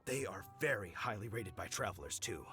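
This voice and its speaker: An earnest, brash village merchant from a video game. His fairly low voice swings widely as he makes his emphatic case, with music clearly playing behind him. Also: expressive, fairly quick, sharp tone. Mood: eager, persuasive.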